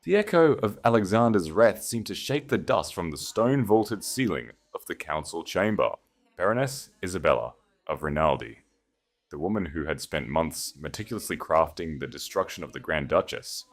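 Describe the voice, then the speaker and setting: A man's voice: sits low, expressive, normal speed. A man delivering dramatic, scripted narration of a story as its narrator.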